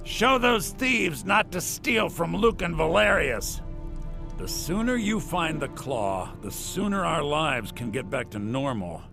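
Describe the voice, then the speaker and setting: Grateful game merchant: bright, forceful, very animated, halting delivery. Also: male voice, on the high side, creaky, full character voice. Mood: indignant. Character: excitable, grateful fantasy shopkeeper. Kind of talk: video game voice acting. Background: music.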